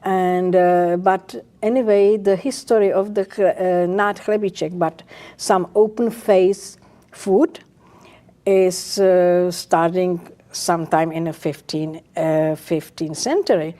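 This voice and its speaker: An older woman from the Czech Republic with a Czech accent, a guest chef speaking in a casual interview, halting and searching for words. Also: lowish voice, steady tone, dark tone.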